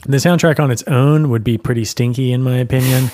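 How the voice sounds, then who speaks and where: A man hosting a casual podcast, relaxed and rambling, who laughs often. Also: mid-range voice, fairly quick. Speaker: podcast host. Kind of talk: casual chat.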